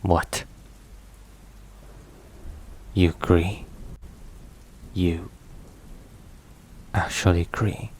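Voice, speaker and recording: A man playing a stern, protective, weary mafia boss in an intimate ASMR roleplay, speaking in a grave, measured, halting way. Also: low voice. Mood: subdued, hesitant. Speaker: voice actor. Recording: steady rain and thunder noise. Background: rain and thunder.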